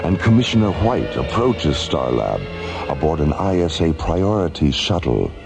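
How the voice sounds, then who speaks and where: A man's voice: extremely low pitch, big pitch swings, normal speed, creaky, music in the background, exaggerated voice. A man acting as a grave, portentous narrator of a science fiction radio drama. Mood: ominous, dramatic. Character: grave, ominous male narrator of space tales.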